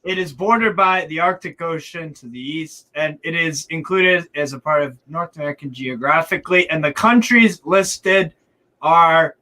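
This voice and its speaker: A man's voice: a little high, moderate pace, bright, forceful tone, low-quality recording. A man in a casual watchalong chat who presses his point with pointed yes-or-no questions. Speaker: co-host of a football watchalong. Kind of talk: casual debate.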